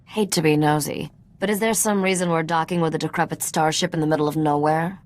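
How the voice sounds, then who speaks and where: A woman's voice: low-pitched, steady tone, fast talker, natural voice. A woman playing a sly, self-assured treasure hunter and ship mechanic in a video game, dry and teasing. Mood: dry, concerned. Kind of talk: video game cutscene acting.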